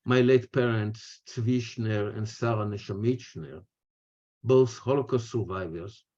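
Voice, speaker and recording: An older man with an Israeli accent gives a prepared webinar talk in deliberate, measured phrases. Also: fairly low pitch, warm tone. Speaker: speaker, retired history professor. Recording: muffled, lo-fi webinar audio.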